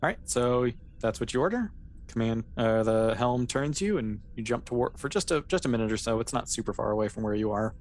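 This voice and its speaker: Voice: mid-range voice, expressive, a little fast, a little mellow, a little hiss or hum. A game master casually improvising narration for a tabletop sci-fi game, in an easygoing, hesitant way, with everyday phrasing.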